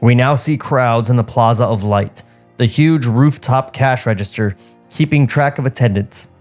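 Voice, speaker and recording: A man's voice: mid-range voice, normal speed, faint music behind. A man co-hosting a podcast, narrating screen directions from a script with casual asides. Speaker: podcast co-host reading a script.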